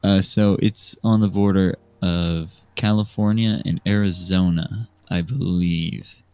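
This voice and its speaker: Deep-voiced young American man. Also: fairly even intonation, slow pace, clear, resonant tone, no echo. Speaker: podcast guest. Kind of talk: casual storytelling chat. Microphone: remote voice call microphone. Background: soft music.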